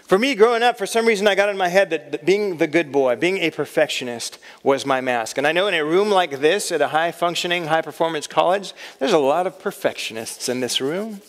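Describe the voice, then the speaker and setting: A man in his thirties speaks at a college chapel in a slightly high voice. He talks in a relaxed, conversational way and pokes fun at himself. A long hall reverb trails behind each phrase. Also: brisk, few pauses. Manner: conversational, self-deprecating humor. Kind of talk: chapel talk.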